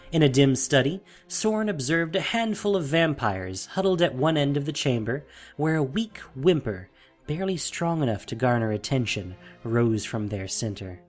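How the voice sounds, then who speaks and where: A man narrating a scripted fantasy story in a measured, dramatic way. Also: medium pitch.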